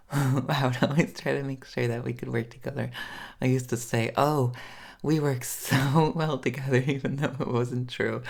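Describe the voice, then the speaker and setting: Casting: woman playing a bashful, smitten first date in roleplay audio; low register, unhurried, halting, tipsy giggles, nervous stammer. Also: vocal fry, a little mellow, full character voice. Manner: nervous, stammering, fumbling over words, giggling often. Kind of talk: intimate roleplay audio monologue to the listener.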